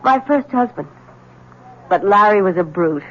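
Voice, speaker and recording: A woman's voice: medium pitch, lively intonation, a little slow, a little hiss or hum, lightly acted. An actress playing a demure, mysterious young woman. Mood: coy, guarded. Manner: soft, coy, guarded. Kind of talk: 1970s radio drama acting. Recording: dull 1970s radio broadcast.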